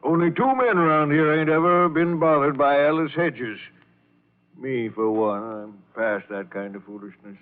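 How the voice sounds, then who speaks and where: A man's voice: fairly high pitch, normal speed, lightly acted. Man acting a folksy older roadhouse cook, tinny radio. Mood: knowing. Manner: slangy, folksy, drawling asides. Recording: tinny, narrow-band old radio recording.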